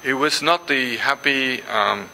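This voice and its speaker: An older man whose first language is Dutch gives a symposium lecture, pausing often with ums and restarts and reading passages aloud. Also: medium pitch, gravelly, bright, forceful tone, slight background noise, low-quality recording. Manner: hesitant, many ums and restarts. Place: lecture hall.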